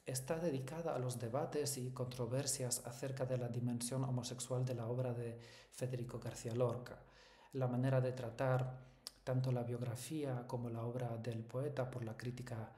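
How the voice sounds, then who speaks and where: Male Hispanist scholar, native Polish speaker, soft dark timbre, measured formal presentation, room echo. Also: medium pitch, fairly even intonation. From Poland. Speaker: academic, Hispanist researcher. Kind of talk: prepared academic book presentation. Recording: echoey room sound.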